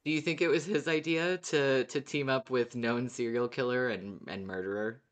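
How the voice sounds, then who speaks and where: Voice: medium pitch, fairly even intonation, moderate pace. A podcast co-host chatting casually in an amused, giggly way about a favourite show. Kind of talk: casual fan podcast chat.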